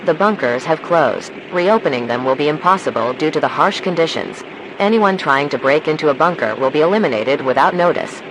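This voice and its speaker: A man playing a calm, official emergency broadcast announcer, reading scripted alerts in a measured, formal way. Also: on the high side, noisy background. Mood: grave, official.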